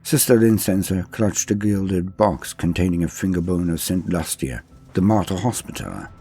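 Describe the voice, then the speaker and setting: Deep husky male dramatic storyteller. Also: moderate pace, dark tone, soft background music. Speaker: narrator. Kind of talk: dramatic reading of a science fiction war story.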